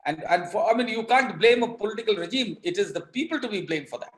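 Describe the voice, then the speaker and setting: High-pitched Indian man, halting, echoey. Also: lively intonation. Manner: halting, emphatic, often repeats words. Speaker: political commentator. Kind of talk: interview commentary on politics. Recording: echoing, roomy sound.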